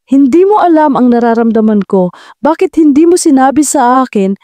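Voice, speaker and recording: A woman reading as a hurt woman boss in her forties, her words clipped and icy, her anger sharp. The tone is mellow and soft-edged. The sound is dry and close, with no room in it. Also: sits high, lively intonation, moderate pace, no room sound, voice pushed up. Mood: angry. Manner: cold and clipped, sharp when angry. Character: cold, wounded 42-year-old woman boss.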